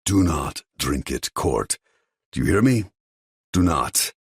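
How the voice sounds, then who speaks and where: A man's voice: very deep voice, very animated, slow pace, husky, dry recording, clean studio sound, voice pushed up, heavily acted. A man voicing a stern, controlling father who gives firm warnings. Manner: commanding, warning.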